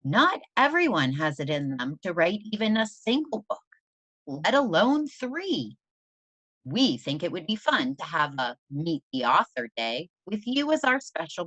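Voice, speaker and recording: A woman's voice: sits low, a bit bright, dry recording, exaggerated voice. A woman voicing a polite, admiring female head librarian in a storybook, courteous and carefully enthusiastic. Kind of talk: storybook character voice.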